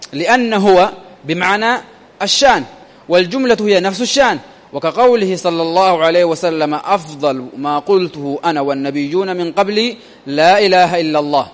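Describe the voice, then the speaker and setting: Guy teaching grammar in a high voice, keeps asking the class questions, lots of reverb. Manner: repeats phrases and quizzes listeners with rhetorical questions.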